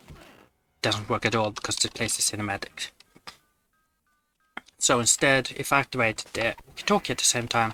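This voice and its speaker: A man's voice: mid-range voice, sharp tone, slight background noise. A man giving matter-of-fact, step-by-step commentary on video game glitches over his gameplay. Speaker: gamer presenting glitches.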